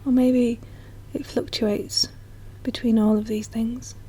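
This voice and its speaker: A woman narrating a guided meditation in a soft, slow, soothing manner, as a guide speaking directly to the listener. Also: fairly high pitch, level tone, slightly rough.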